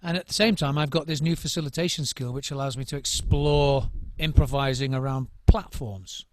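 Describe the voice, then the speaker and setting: A man's voice: medium pitch, steady tone, moderate pace, a little mellow, a little hiss or hum. A middle-aged man, a drum circle trainer, giving thoughtful interview answers, often restarting and repeating words as he searches for what to say.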